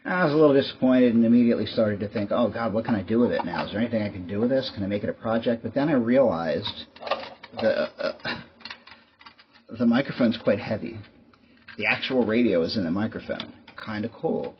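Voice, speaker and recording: A man's voice: on the low side, a little fast. A man hosting a casual show-and-tell vlog, talking in a rambling, wry, resigned way. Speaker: YouTube host. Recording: muffled lo-fi mic with clear background noise.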